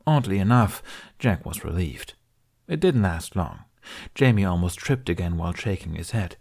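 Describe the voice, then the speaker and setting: A man reading a fantasy story aloud in a calm, measured way. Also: low voice, gravelly, husky. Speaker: podfic reader. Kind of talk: storybook narration.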